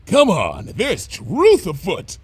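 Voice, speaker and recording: Man voicing a breezy, high-pitched cartoon mare troublemaker. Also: huge pitch swings, takes it slowly, darkish tone, breathy edge, no echo, full character voice. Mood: cheerfully boastful. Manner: breezy, chatty, blithely unconcerned. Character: brash, carefree female pony troublemaker and conspiracy theorist. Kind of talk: animated fan fiction reading.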